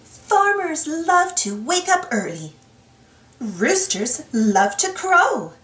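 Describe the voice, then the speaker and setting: A woman reading a children's storybook aloud in an expressive, singsong way. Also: sits high, takes it slowly. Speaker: storybook reader, channel host. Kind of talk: children's read-aloud narration. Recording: roomy, distant mic, soft music behind.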